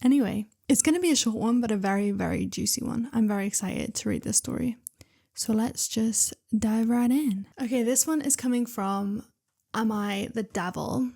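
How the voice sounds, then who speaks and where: A young woman hosting her channel, reading online stories aloud with chatty, excitable commentary and asides. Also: on the high side, normal speed, creaky. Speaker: reader and channel host.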